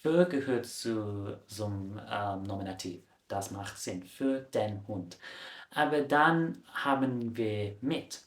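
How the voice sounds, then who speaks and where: Casting note: a low-voiced man, a casual vlog-style explainer, recorded in an echoey room. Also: slow pace. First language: English. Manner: chatty, corrects his own slips with a laugh. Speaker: language vlogger. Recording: roomy echo.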